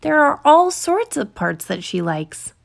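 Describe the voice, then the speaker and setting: A woman reading a children's picture book aloud in an expressive, deliberate storytelling manner, stretching words for emphasis. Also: high-pitched, vocal fry. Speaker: storytime reader.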